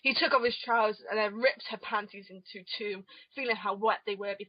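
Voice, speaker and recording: A woman's voice: medium pitch, fairly quick. A woman narrating an amateur dramatic reading of comic fan fiction, on a muffled home recording.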